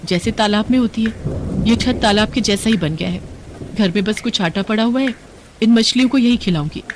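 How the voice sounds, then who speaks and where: A woman's voice: mid-range voice, fairly quick, a little hiss or hum, lightly acted, rough recording. A woman voicing a dutiful, hopeful young village daughter-in-law in a story, with an earnest, pleading manner. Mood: determined.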